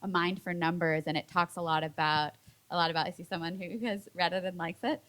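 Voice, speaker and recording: A woman's voice: on the low side, steady tone, brisk, fairly bright tone. A woman speaking thoughtfully on a public panel, building long sentences with frequent restarts. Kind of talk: panel discussion.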